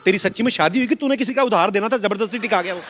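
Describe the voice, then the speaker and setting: A man's voice: high voice, rapid-fire, over loud music, natural voice. A man playing a mocking, teasing brother-in-law who exclaims his way through live TV sketch comedy. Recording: noisy stage with studio audience.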